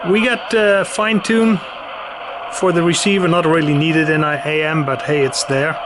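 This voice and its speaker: Male demonstrator, high-pitched voice, casual and matter-of-fact explainer, with clear radio hiss behind him. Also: fairly even intonation, a little slow. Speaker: presenter demonstrating vintage radio gear. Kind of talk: casual hands-on product demonstration. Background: CB radio noise and chatter.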